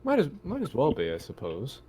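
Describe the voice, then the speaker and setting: A man playing a tabletop roleplaying game, chatting casually in a halting way and often repeating himself. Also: mid-range voice, wildly swooping pitch, over a noisy crowd.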